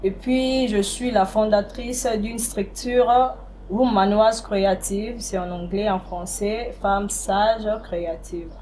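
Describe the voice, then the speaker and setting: A woman from DR Congo with a Congolese French accent introduces herself formally and calmly. Her voice is mid-pitched and mellow, with faint noise behind her. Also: slow. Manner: composed, listing her roles. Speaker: guest.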